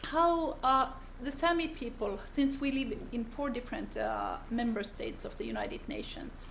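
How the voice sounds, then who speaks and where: Brief: woman from northern Finland, seminar speaker, gravelly and fairly high voice, unhurried delivery with searching pauses. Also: very animated, a bit bright, slight background noise. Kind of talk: seminar presentation. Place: seminar room.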